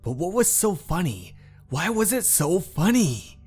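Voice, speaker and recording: A man's voice: high voice, very animated, darkish tone, husky, faint music behind, pitched above the performer's own voice, heavily acted. A man playing an obsessed, unhinged painter in a horror story, narrating in a theatrical, brooding manner. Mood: deranged. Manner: slow, theatrical, brooding, with anguished outbursts. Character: obsessed painter, unhinged, infatuated, confessing a murder. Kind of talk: dramatic first-person horror story narration.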